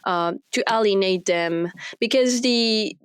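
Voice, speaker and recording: A young woman from Morocco speaks as an interview guest, measured and full of ums and small restarts. Her voice is smooth and clear at a middle pitch. The recording is dry, with no room sound. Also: no room sound. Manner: measured, many fillers and restarts. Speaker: research fellow guest. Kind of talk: interview answers.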